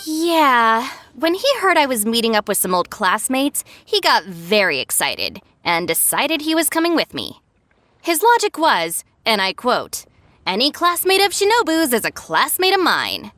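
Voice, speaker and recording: A woman's voice: a little high, very animated, unhurried, fairly bright tone, no echo. An actress playing a sensible young woman in an anime-style video game, explaining things in a dry, articulate manner. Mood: dryly exasperated. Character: sensible young woman, dryly exasperated gang deputy.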